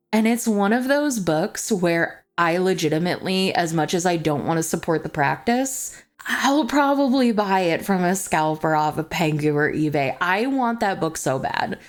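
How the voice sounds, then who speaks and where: A young adult woman chatting as a book vlogger, gushing and confessional in manner. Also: fairly low pitch. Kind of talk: chatty book review to camera. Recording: music playing behind the voice. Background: background music.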